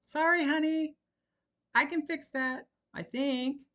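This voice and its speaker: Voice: medium pitch, animated, moderate pace, dull audio, natural voice. A jokey, doting father who teases his kids with mock threats, voiced by a story reader. He talks in a sing-song way. Read as a storybook character. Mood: mock-stern.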